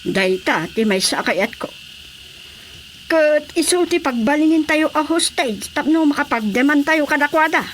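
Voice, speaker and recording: A man's voice: very high-pitched, lively intonation, measured pace, background noise. A man with an Ilocano accent playing a fierce, commanding rebel commander in a radio drama. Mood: resolute plotting. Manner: commanding, forceful. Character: fierce rebel commander plotting ambushes in the mountains.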